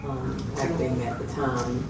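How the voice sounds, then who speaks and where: A woman acting as a candid female patient talks in a flat, even tone. She is hesitant and plain-spoken, giving her account in short pieces. She sounds far from the mic in an echoey, noisy room. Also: extremely low pitch, slow pace, gravelly, exaggerated voice. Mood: candid, subdued. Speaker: mental health patient in a consultation. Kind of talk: role-played clinical interview, conversational. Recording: distant mic, roomy echo, loud background noise.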